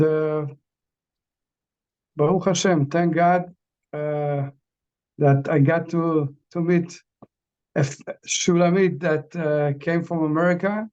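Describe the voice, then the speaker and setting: A middle-aged Israeli man, a native Hebrew speaker, guest in an interview, speaking haltingly in simple phrases. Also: fairly high pitch, a little mellow. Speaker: interview guest, bereaved father. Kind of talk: casual conversation. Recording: dull lo-fi sound.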